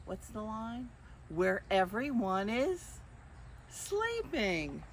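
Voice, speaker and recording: An older woman reading picture books aloud to young children, expressively, with drawn-out phrases and questions to her listeners. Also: medium pitch, big pitch swings, a little hiss or hum. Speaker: children's storyteller.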